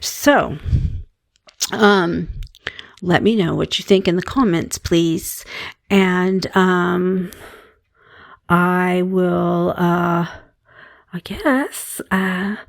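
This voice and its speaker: A middle-aged woman with a calm voice, low and gravelly, who talks slowly with many pauses. She stops and restarts her sentences often, and the recording is dry and close. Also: dry recording. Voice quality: calming voice. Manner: halting, many fillers and restarts. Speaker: meditation channel host. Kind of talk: casual personal monologue.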